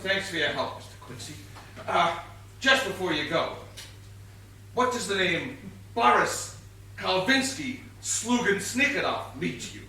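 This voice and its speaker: An officious police inspector played by a man with a high, sharp voice in a comic stage whodunit. He questions drily and briskly, with exasperation underneath. It is recorded from far off in a very echoey space. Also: sing-song, heavily acted. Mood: exasperated. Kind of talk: comic stage play acting. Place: stage of a small theatre. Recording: distant echoey theatre recording, faint noise.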